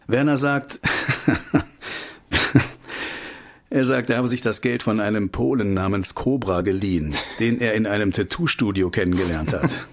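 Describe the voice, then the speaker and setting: An actor playing an investigative researcher in a radio play, terse, urgent and matter-of-fact as he lays out facts. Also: on the low side. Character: male investigative researcher briefing a contact, urgent and knowing. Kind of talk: radio drama dialogue.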